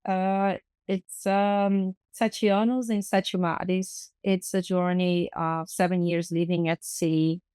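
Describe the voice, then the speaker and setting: Brazilian woman on a podcast, kinda low smooth voice, dry sound, lots of ums. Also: flat delivery, takes it slowly, hardly any pauses, a little mellow, no room sound. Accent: Brazilian Portuguese. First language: Portuguese. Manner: thoughtful, searching for words, many fillers. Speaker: guest artist and activist. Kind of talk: podcast interview.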